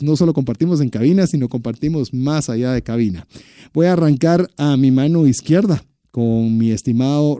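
A man's voice: mid-range voice, mellow, no room sound, studio quality. A man hosting a radio show in a conversational style from a booth. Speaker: radio show and podcast host.

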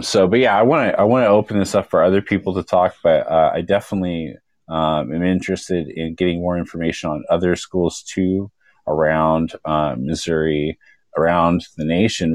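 Very deep-voiced earnest male activist. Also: a little mellow, dry recording. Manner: earnest, rambling, many fillers. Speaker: activist guest. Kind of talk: casual chat.

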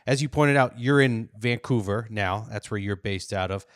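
A man's voice: lowish voice, moderate pace, dry recording, studio quality. A man from upstate New York who hosts a podcast and interviews his guest in a friendly, affirming way. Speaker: podcast host. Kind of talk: casual interview chat.

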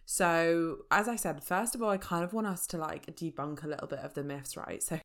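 A woman talks chattily to her podcast listeners. She laughs often, leans on fillers, and sounds slightly croaky from a sore throat. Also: sits low, a little fast. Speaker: podcast host. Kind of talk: casual solo podcast talk.

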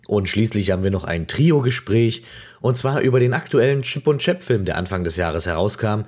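A man hosting a gaming podcast, talking casually and playfully, his voice croaky from a cold. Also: lowish voice, lively intonation, a little fast, darkish tone. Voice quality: husky. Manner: chatty, playful, many fillers. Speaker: podcast host.

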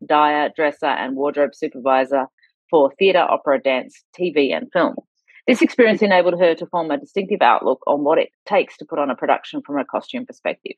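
A woman hosting a podcast interview, with a husky voice. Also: low voice, no room sound, low-quality recording. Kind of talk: scripted introduction and conversational interviewing.

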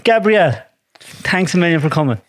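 A man's voice: fairly high pitch, animated, moderate pace, no room sound. A man hosting a podcast, asking friendly, encouraging questions. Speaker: podcast host. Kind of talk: casual interview.

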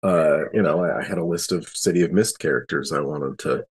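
Deep, husky-voiced man chatting on a panel. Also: fairly quick. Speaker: podcast panelist and player. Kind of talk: casual panel discussion.

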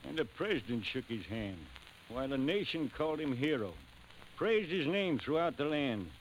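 An actor narrating an old radio drama as a reverent storyteller, in a poetic, measured cadence. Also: male voice, medium pitch, sing-song, background noise. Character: reverent storyteller of a war hero.

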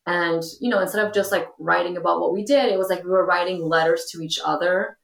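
A woman's voice: fairly low pitch, fairly even intonation, fast, creaky. A young adult woman from Portugal, a podcast guest talking casually and filling her speech with ums and you-knows. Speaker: guest, influencer. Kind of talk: casual interview talk. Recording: echoey room.

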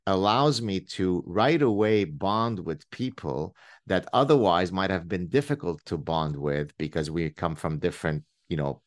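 A middle-aged man from Lebanon, a native Arabic speaker, hosting a casual interview in a reflective way, restarting his phrases and building to playful emphasis. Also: sits low. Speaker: podcast host.

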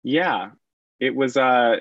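A man who is a guest on a podcast, talking in a relaxed, rambling way with lots of fillers. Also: medium pitch, slow pace, dry recording, muffled recording. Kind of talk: casual chat.

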